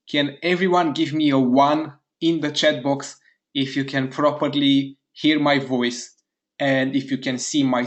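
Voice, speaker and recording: Brief: man from Romania, mid-pitched, upbeat webinar host, chats to a live audience, repeats words of praise, computer mic on a web call. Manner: upbeat, eager, speaks straight to a live chat audience. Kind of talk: live online sales webinar.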